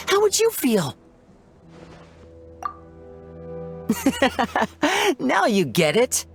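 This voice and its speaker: A woman playing a playful young stage magician in a video game, charming, teasing and showy in delivery. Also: a little high. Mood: teasing, animated. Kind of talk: scripted video game character dialogue. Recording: music behind the voice.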